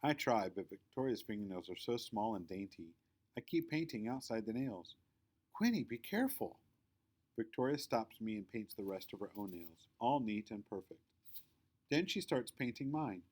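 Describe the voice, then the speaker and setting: A man reading a children's story aloud as narrator, in an expressive, emphatic read-aloud style. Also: fairly low pitch, normal speed. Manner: animated, emphatic. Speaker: storybook reader.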